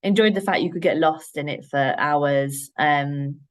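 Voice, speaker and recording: A woman's voice: low-pitched, unhurried. A woman in her late thirties with a Yorkshire accent, speaking frankly and directly as a guest in a podcast interview, with frequent fillers. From Yorkshire, England. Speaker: guest, head of operations. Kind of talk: podcast interview, casual chat.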